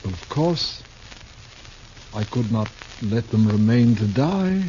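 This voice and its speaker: A man's voice: on the low side, sing-song, slow, warm tone. A man playing a pompous, theatrical travelling Shakespearean actor in a 1950s radio western, with florid, formal diction. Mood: groggy, haughty. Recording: muffled old radio recording, faint noise.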